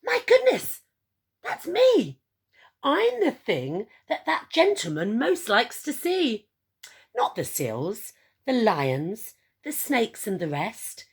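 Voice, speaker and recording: Lady doing an eager emu guy from a kids storybook, boasty and theatrical, pitch jumps up high. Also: wildly swooping pitch, slow, gravelly, husky, exaggerated voice. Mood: astonished, delighted. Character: eager, boastful young male emu, easily excited. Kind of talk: children's picture-book read-aloud.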